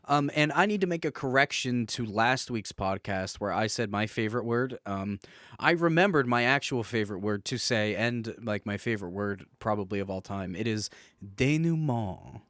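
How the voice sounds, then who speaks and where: A man's voice: on the low side, lively intonation, moderate pace, a bit bright, no room sound. Dry-recorded man, chatty podcast co-host. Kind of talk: casual podcast banter.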